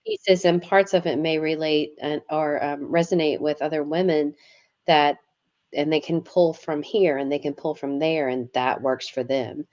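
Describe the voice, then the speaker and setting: A woman's voice: deep voice, steady tone, normal speed, a little mellow, lo-fi sound. An earnest woman guest talking about her experiences, often repeating words as she starts a phrase. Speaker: guest, yoga teacher and author. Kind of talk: casual interview conversation.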